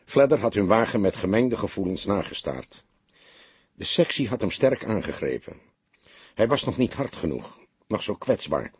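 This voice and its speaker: Guy reading a detective novel, husky voice, lots of up and down, really dry recording. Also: lowish voice, big pitch swings, normal speed, dry recording. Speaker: audiobook narrator. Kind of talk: expressive scripted storytelling of a crime novel.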